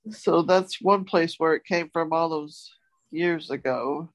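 Slow-paced, good-humoured talk show co-host. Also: medium pitch, poor audio. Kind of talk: casual chat, presenting new dictionary words.

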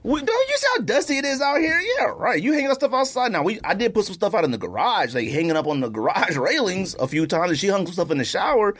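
A man's voice: high-pitched, fast talker, hardly any pauses. A man co-hosting a podcast, telling stories in casual slangy banter and acting out what people said. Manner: animated, slangy storyteller who acts out quotes. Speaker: podcast co-host.

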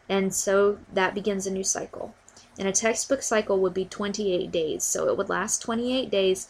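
A woman hosting a podcast, talking casually and a little stuffy from a cold, often repeating words as she thinks. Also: medium pitch. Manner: warm, informal, repeats words while thinking. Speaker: podcast host. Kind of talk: casual educational podcast talk.

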